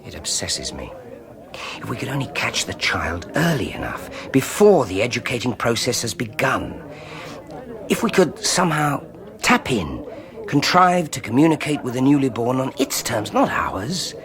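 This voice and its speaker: An actor playing an obsessive, sardonic scientist with a British accent in a radio drama, speaking tersely and intensely. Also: male voice, mid-range voice, big pitch swings, moderate pace, vocal fry, crowd noise. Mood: intense, brooding. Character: obsessive scientist husband, brooding, bitterly sardonic new father. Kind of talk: 1980s radio drama acting.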